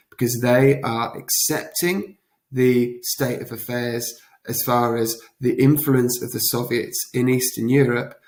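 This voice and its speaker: A man giving an explanatory revision lesson as a teacher, talking in a deliberate, emphatic way with rhetorical check-ins. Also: medium pitch. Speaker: history teacher. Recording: echoey, roomy sound.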